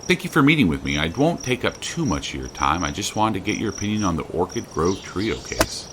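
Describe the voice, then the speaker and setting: Podcast drama host male actor: serious investigator, deep voice with lively inflection, scripted narration. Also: fairly quick, heavy background noise. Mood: uneasy, measured. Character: true-crime podcast host, a man, earnest and probing investigator. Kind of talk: scripted true-crime narration and interviewing.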